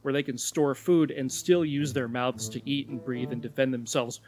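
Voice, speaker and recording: Calm, explaining podcast co-host. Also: male voice, medium pitch, moderate pace, soft background music. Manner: calm, explanatory, laughs along. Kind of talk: casual chat with explanations.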